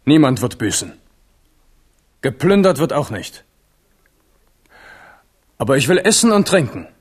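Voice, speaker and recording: A man's voice: mid-range voice, very animated, unhurried, breathy edge. An actor playing a spirited, mocking yet merciful young king in a German radio play, theatrical and commanding. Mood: resolute.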